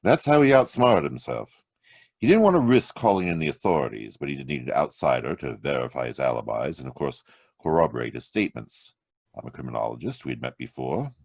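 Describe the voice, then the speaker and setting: Deep dramatic radio hero, swoopy delivery, dry close mic. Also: male voice, sing-song, brisk, creaky, a little mellow, dry recording, heavily acted. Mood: explaining. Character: confident male crime-solving hero, mocking. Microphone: home mic over video call.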